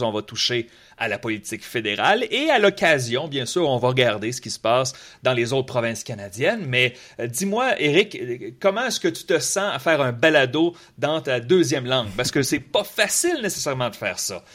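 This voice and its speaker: A man with a Quebec French accent hosting a casual political podcast, relaxed and talkative, often repeating words as he searches for the next one. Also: mid-range voice. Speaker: podcast host, political columnist. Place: in Quebec City.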